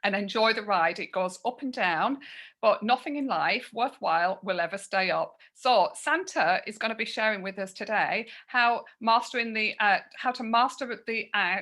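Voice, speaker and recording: A woman's voice: medium pitch, moderate pace. A woman hosting an online session on a video call, introducing the next speaker and reading out her bio. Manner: warm introductions, reads a bio aloud. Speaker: host.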